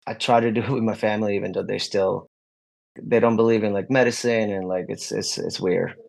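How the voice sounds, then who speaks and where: A man's voice: lowish voice, fairly even intonation, fairly quick, gravelly, darkish tone. A young adult man, a guest musician, answering interview questions openly in a rambling way, full of "like" fillers and restarts.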